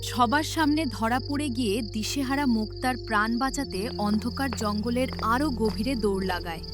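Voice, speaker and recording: A woman's voice: fairly high pitch, moderate pace, over music. A woman narrator reading a scripted story in a dramatic storytelling style.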